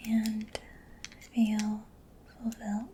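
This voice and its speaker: A woman gives soft-spoken ASMR personal attention in a mellow, mostly whispered voice. She goes slowly and gently, murmuring reassurance close to the microphone. There is some audible noise behind her. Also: mid-range voice, completely flat delivery. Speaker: ASMR performer.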